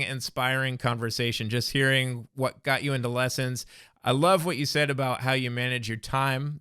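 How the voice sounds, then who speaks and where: Bright, crisp male podcast host. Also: mid-range voice, no room sound, clean studio sound. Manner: upbeat, affirming, paraphrases answers back. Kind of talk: friendly interview, podcast outro.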